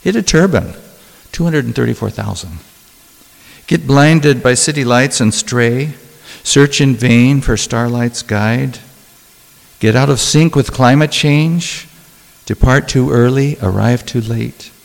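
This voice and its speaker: A man reading his own poetry and talking about it, in a deliberate, measured way with dramatic pauses. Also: mid-range voice, creaky. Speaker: poet reading own work. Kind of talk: poetry reading with spoken asides. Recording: long hall reverb.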